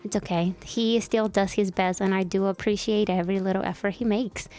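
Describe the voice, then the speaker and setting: A woman's voice: mid-range voice, steady tone, a little fast, a little mellow, soft background music. A woman in her twenties from El Salvador, co-hosting a casual podcast and chatting about herself with a self-deprecating, easygoing manner. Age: 20s. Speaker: podcast co-host. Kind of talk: casual chat.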